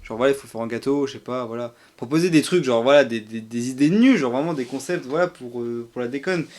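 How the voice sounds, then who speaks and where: A man's voice: mid-range voice, fast, darkish tone. A young man co-hosting a casual live stream, hesitant and rambling as he thinks aloud. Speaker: streamer, co-host.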